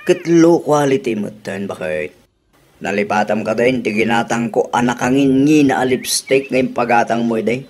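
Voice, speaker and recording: A man's voice: medium pitch, normal speed, a little mellow, lightly acted. A man with an Ilocano accent playing a thrifty husband who argues about prices. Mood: arguing. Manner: arguing, reasoning. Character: frugal husband. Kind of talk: radio drama acting.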